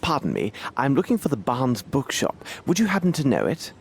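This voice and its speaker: An actor playing a brilliant, world-weary Victorian detective in a video game, with measured, theatrical, formal phrasing. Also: male voice, medium pitch, wildly swooping pitch, gravelly, a little hiss or hum. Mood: courteous, curious. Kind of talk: video game cutscene acting.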